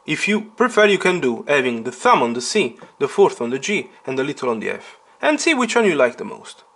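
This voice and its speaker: A man giving a step-by-step piano lesson, explaining deliberately and emphatically and putting questions to the learner. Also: a little high, very animated, fairly bright tone. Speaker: piano teacher. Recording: piano playing alongside the voice. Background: piano chords.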